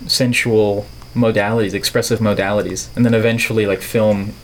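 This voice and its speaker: A man's voice: on the low side, flat delivery, a little fast, slight background noise, low-quality recording. A middle-aged man from the Netherlands with a neutral American accent, talking reflectively and playfully as an interview guest, with wordy turns of phrase. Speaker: podcast guest, artist and filmmaker.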